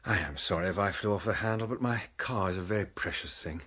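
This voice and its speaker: An actor playing a testy, sardonic military gentleman in a radio drama, well-mannered with a sarcastic edge. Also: low voice, fast talker, husky, lo-fi sound. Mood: stiffly apologetic.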